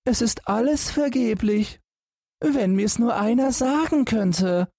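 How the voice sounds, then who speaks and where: A man's voice: extremely high pitch, lively intonation, warm tone, slightly rough, dry recording, clean studio sound, pitched above the performer's own voice, heavily acted. A man voicing a fearless, naive young lad in a fairy tale, in a light, raised, cheeky voice. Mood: wistful, frustrated. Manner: carefree, unfazed, brisk.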